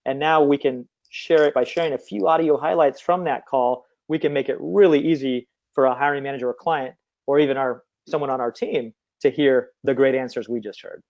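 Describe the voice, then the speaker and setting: A man's voice: mid-range voice, steady tone, fairly quick, darkish tone. A man speaking conversationally as an interview guest, explaining things over slightly muffled call audio. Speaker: guest, company CEO and former recruiter.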